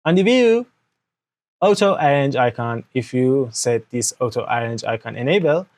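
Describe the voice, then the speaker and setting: A man's voice: mid-range voice, animated, moderate pace, darkish tone. A young man whose first language is Persian, explaining a computer walkthrough step by step at his desk into an external microphone, often checking with "okay?". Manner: explanatory, frequent "okay?" checks, non-native grammar. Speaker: tutorial host. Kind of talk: screen-recorded software tutorial. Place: indoor room at a desk.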